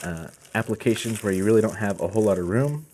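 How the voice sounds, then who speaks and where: Mellow low-voiced man presenting products. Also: fast, a little hiss or hum. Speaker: host of a product channel. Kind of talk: casual product unboxing presentation.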